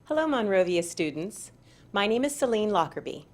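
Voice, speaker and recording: A woman reading aloud to children as a storyteller, with deliberate, dramatic emphasis. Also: mid-range voice, sing-song, music in the background. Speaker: parent and school board member reading aloud.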